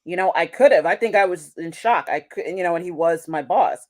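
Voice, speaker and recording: A woman with a somewhat low voice, speaking as an interview guest. She thinks aloud, restarts her sentences and often closes a point with a rhetorical "right?". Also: brisk, a little mellow. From United States. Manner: reflective, restarts sentences, asks rhetorical questions ending in "right?". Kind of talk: conversational interview.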